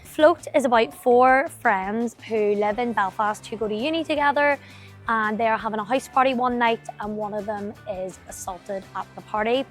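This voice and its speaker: A woman giving a promotional interview as a member of a theatre company. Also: a little high.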